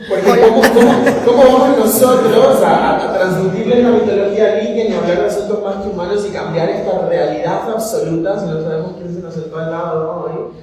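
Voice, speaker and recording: A man's voice: high-pitched, fairly even intonation, fairly quick, hardly any pauses, a crowd in the background. A man curating and moderating a panel talk, rambling with many fillers as he invites the audience to take part. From migrant living in Madrid. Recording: echoey hall, voice from far off, faint noise.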